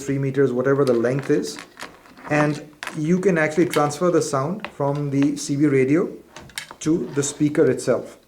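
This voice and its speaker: A man's voice: medium pitch, darkish tone. A man presenting a product in an instructional demonstration, explaining methodically step by step.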